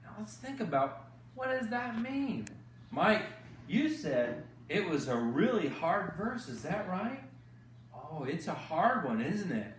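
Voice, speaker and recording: A man with a slightly high, swooping voice hosts Bible bedtime stories for children. He teaches gently, repeating phrases and asking exaggerated questions. The recording is echoey and a bit distant from the mic, with faint noise. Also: very animated, slow pace.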